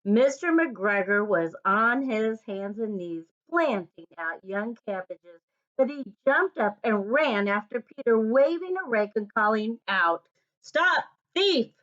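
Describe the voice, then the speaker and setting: A woman reads a storybook aloud for children at a very slow pace, pausing between short phrases. Her pitch sits in the middle range. The recording is dull and lo-fi. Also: animated, fairly bright tone. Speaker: storybook reader.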